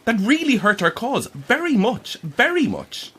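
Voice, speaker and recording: An actor playing an earnest, indignant believer in the afterlife in an audio drama, halting and insistent. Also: male voice, extremely high pitch, huge pitch swings, sharp tone, husky, full character voice. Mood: indignant, pleading.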